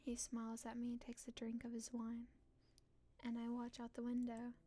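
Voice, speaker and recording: A woman's voice: on the high side, monotone, moderate pace, mellow. A woman streamer reading a visual novel aloud and reacting casually as she goes.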